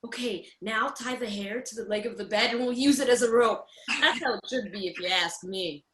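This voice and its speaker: A woman playing a brash, bossy young rebel from a fairy tale in a radio comedy, sassy, slangy and excitable. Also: fairly high pitch, moderate pace, natural voice. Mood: sassy, urging. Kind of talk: irreverent radio play acting.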